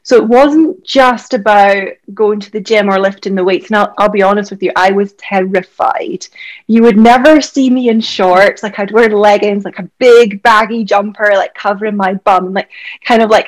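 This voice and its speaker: A woman's voice: mid-range voice, fairly even intonation, normal speed, low-quality recording. A Scottish woman in her thirties, a doctor and health coach, chatting candidly as a podcast guest with lots of "like" fillers. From Scotland. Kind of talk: casual podcast conversation.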